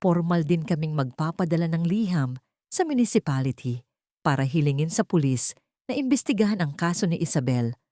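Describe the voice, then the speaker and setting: A woman's voice: sits low, expressive, a little fast, mellow, no echo. Lady playing a filipino village captain guy in an audiobook, taunting and bossy, dry close sound. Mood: stern. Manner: commanding, taunting. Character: stern, accusing male village captain. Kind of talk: audiobook character dialogue.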